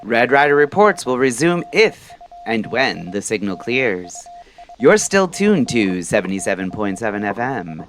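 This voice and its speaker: A performer voicing a late-night radio host who announces in a measured, ominous, formal way. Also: mid-range voice, very animated, soft background music. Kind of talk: scripted radio announcer narration.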